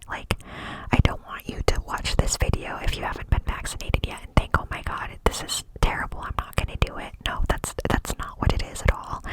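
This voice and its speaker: A young woman telling a personal story in a chatty whisper, with many fillers. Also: rough recording. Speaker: vlogger.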